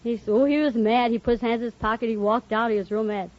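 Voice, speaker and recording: A woman's voice: on the high side, fairly even intonation, quick pace. A plain-spoken woman with an American accent telling an informal story as a radio quiz contestant. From United States, Wisconsin. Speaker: quiz show contestant, housewife. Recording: muffled 1950 radio recording, loud audience, noise. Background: laughing studio audience.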